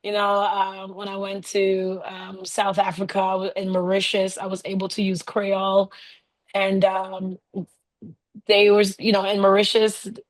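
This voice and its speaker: A woman casually telling stories in conversation, animated and often giggling as she talks. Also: mid-range voice, unhurried. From raised by a Haitian family. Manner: animated, giggly, laughs often while talking. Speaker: interview guest, bike traveler.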